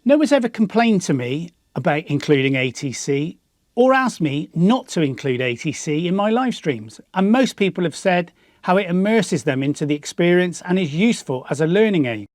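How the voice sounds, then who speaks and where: A man's voice: sits high, big pitch swings, moderate pace, no room sound, studio quality. A man with a British accent presenting a scripted explanatory piece as the host of a hobby channel. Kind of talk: scripted explanatory narration to viewers.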